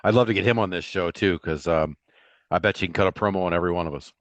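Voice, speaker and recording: A man's voice: deep voice, quick pace, dry recording, highs cut off. A man co-hosting a podcast in a casual, chatty style about sports. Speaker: podcast co-host.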